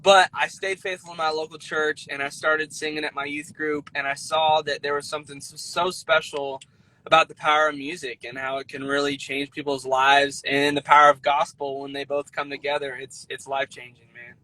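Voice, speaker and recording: A man's voice: fairly high pitch, level tone, a little fast, pushed and bright, low-quality recording. A young man from the American Midwest chatting enthusiastically as an interview guest on a live video call. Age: young adult. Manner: upbeat, enthusiastic. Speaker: singer-songwriter guest. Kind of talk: casual interview chat.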